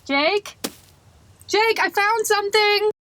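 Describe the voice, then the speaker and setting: Casting: actress as female searcher in a paranormal radio play, sharp high voice, slightly noisy raw dialogue track. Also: takes it slowly, full character voice. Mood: urgent calling out. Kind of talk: audio drama acting.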